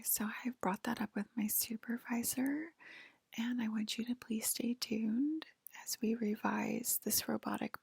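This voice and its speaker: A woman acting as a female alien abductor in an ASMR roleplay, whispering slowly and hesitantly. The voice is breathy and heavily crackled with fry. It keeps a soft, clinical, gently official manner throughout. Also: on the high side, no echo, full character voice.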